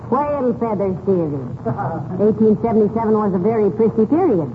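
A woman's voice: mid-range voice, expressive, moderate pace, lightly acted. An actress playing a sardonic, sensible American wife who teasingly deflates her husband, in 1940s radio comedy style. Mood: wry, teasing. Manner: wry, teasing. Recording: noisy, tinny 1940s radio recording.

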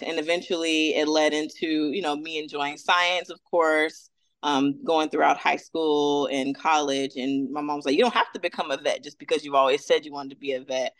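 A woman's voice: sits low, fairly even intonation, normal speed, no echo. A woman in her thirties from Atlanta, a veterinarian guest talking casually and upbeat, with many fillers. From Atlanta, Georgia, US. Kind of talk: casual interview.

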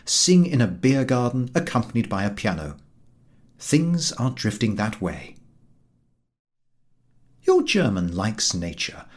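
A man narrating a humorous book as an audiobook reader, in an expressive, measured storytelling manner. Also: medium pitch.

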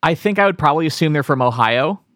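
A man's voice: fairly high pitch, animated, quick pace, fairly bright tone, no room sound, clean studio sound. A man in his thirties co-hosting a podcast, chatting in a wry, rambling way with many restarts. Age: 30s. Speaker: podcast co-host. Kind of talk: casual chat.

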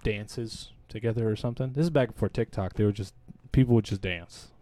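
A man's voice: on the low side, brisk, dry recording. A young adult man co-hosting a podcast, telling stories in a casual, profane way. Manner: casual, profane, animated storytelling with laughs. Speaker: podcast co-host. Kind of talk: casual chat.

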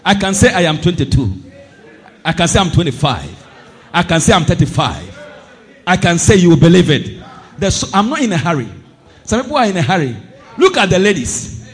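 A man preaching a sermon as pastor, impassioned, swinging between shouted declarations and low asides. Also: sing-song, brisk, long pauses. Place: church service.

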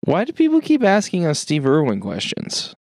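A man's voice: fairly high pitch, wildly swooping pitch, moderate pace, gravelly, a little mellow, no room sound, studio quality. A man co-hosting a podcast with casual comic banter, teasing and sweary. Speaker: podcast co-host.

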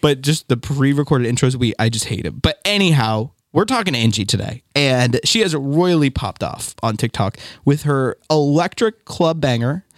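A man's voice: mid-range voice, normal speed, few pauses, no echo. A young man hosts a music podcast with a bouncy, sing-song voice that swoops up and down. He rambles cheerfully, laughs often and stumbles over his words. The sound is close and dry, with no room around it. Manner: animated, giggly, rambling with fillers. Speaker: podcast host. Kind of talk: upbeat podcast chat.

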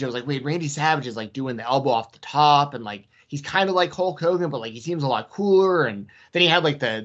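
A man's voice: medium pitch, a bit bright, lo-fi sound. A man in his thirties from Atlanta, chatting casually and restarting his sentences a lot. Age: 30s. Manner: chatty, many likes and restarts. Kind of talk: casual wrestling chat.

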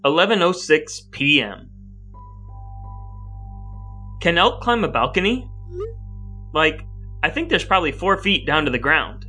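A man's voice: on the high side, very animated, normal speed, sharp tone, soft background music. A man hosting a podcast with his brother, speaking in wordy, mock-grandiose phrases that move between scripted lines and banter. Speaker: podcast host.

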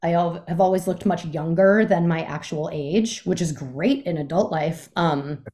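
A woman's voice: fairly low pitch, normal speed, dark tone. A young woman from the Chicago area, chatting casually as an interview guest, full of fillers and "like"s. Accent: faint Midwest, mostly lost.

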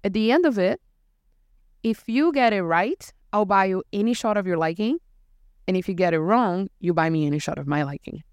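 Woman from Brazil, co-host, chatty, smooth resonant voice, dry close sound. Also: mid-range voice, moderate pace, dry recording. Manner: animated, acts out customers' questions with laughs. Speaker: podcast co-host, bartender. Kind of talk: casual chat.

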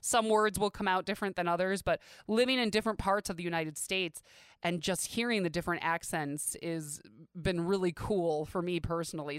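A woman's voice: on the low side, smooth, no echo, clean studio sound. A woman from the American Midwest hosting a podcast, chatty, upbeat and encouraging. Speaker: podcast host. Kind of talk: casual podcast chat.

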